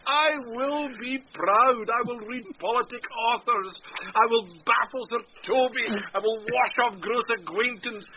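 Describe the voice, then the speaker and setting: A man's voice: very high voice, crowd noise. An actor playing a pompous, vain and gullible household steward in a theatrical Shakespearean radio drama, savouring and drawing out his words. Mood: gloating, elated. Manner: pompous, savouring and drawn-out delivery. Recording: muffled, noisy old radio broadcast.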